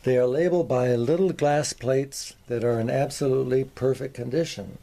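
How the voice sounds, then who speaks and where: A man hosting a workshop video, presenting and explaining in a measured, orderly way. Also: medium pitch, gravelly, mellow. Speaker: host of a workshop video on antique radios.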